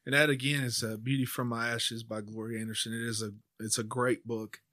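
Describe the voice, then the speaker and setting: A man's voice: medium pitch, flat delivery, fairly quick, bright, forceful tone, no room sound, clean studio sound. A man with an American accent hosting a faith podcast, talking in an informal, conversational way. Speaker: podcast host; church member speaking on faith. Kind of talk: casual discussion.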